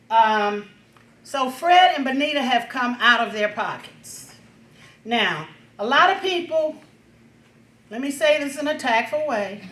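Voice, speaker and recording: Woman, seventies. Big projecting voice, unhurried and emphatic, plain-spoken informal speech in a reverberant room. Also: on the high side, fairly bright tone, a little hiss or hum. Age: 70s. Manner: emphatic, outspoken, with pauses for effect. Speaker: reunion speaker. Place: echoey indoor gathering room. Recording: echoey room.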